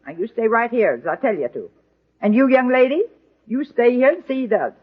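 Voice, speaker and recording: A bossy old grandmother in an old radio comedy. Her high voice is stern and imperious, issuing orders. The vintage recording sounds thin and tinny. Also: very animated, brisk, breathy edge. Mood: bossy, brisk. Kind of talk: 1940s radio comedy acting.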